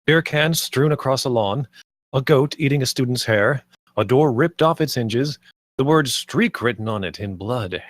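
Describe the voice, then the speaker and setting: A man's voice: mid-range voice, animated, normal speed. A man reading stage directions as the narrator of a script table read.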